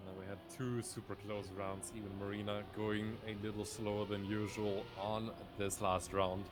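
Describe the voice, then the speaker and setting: A man's voice: fairly low pitch, little pitch movement, normal speed, background noise. A man commentating live on a racing game as a play-by-play esports caster.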